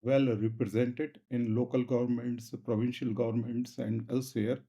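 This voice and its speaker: A man's voice: medium pitch, flat delivery, normal speed, mellow, breathy edge. A man hosting an interview, putting his questions in careful, hesitant phrases on a dull, muffled recording. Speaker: interviewer, host.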